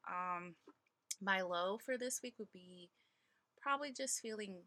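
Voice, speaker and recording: A woman's voice: mid-range voice, unhurried, pushed and bright. A woman in her twenties co-hosting a podcast, chatting casually with playful, slangy banter. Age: 20s. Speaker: podcast co-host.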